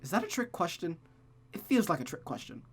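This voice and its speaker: Narrator playing gentleman lover, visual novel, doting, teasing, gentle, wry, slightly formal. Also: mid-range voice, sing-song, fairly quick, husky, pitched above the performer's own voice. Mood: wry, adoring.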